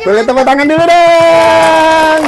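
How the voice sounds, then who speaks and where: A woman's voice: very high-pitched, unhurried. A woman storyteller performing live for children, theatrical and interactive, calling out to her audience. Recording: noisy live event with crowd.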